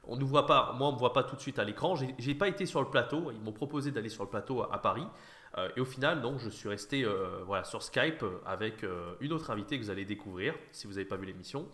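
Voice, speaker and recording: Fast-talking, slightly nasal man. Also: medium pitch, lively intonation, a bit bright. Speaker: YouTube host, online French teacher trainer. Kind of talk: casual chat, reaction commentary.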